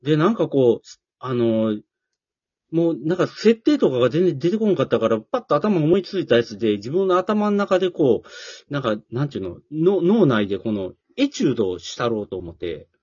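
A man with a Kansai accent and a husky voice that swings extremely widely in pitch. He chats in a halting, jokey, self-deprecating way, recorded dry and slightly dull. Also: very animated, darkish tone, no echo. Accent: Kansai Japanese. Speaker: amateur theatre troupe leader and scriptwriter. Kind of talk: casual chat.

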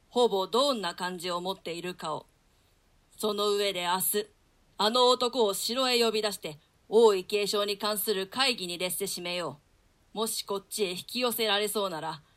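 Plotting lord for an old-fashioned radio Shakespeare, woman actor: forceful, bright tone, issues orders with formal authority. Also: medium pitch, close to an everyday voice. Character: scheming, commanding nobleman, grown man, conspirator. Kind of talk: theatrical Shakespeare reading in archaic Japanese translation.

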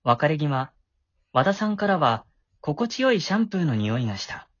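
A man's voice: a little high, sing-song, normal speed, no room sound. Guy doing a shy awkward middle school boy w a crush, flustered n stammery, voice jumps around, kinda dull lo-fi audio. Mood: wistful narration. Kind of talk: amateur voice drama acting with narration.

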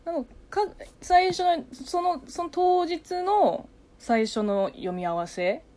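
A young woman, a native Chinese speaker, chatting casually with a friend, talkative, animated and quick to laugh. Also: very high voice. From China. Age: young adult. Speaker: radio talk host, amateur voice actor. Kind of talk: casual chat between friends.

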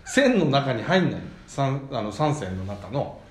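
A man's voice: mid-range voice, sing-song, a little slow, slight background noise. A man co-hosting a podcast in casual comedic chat, teasing his partner in polite but informal speech. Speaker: podcast co-host. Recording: roomy echo.

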